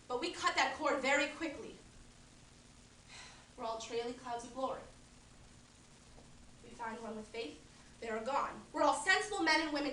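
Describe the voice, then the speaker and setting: A woman's voice: sits high, pushed and bright, slight background noise, voice pushed up, full character voice. A woman playing a grieving woman who longs for lost faith, declaiming solemnly in a roomy space from a distance.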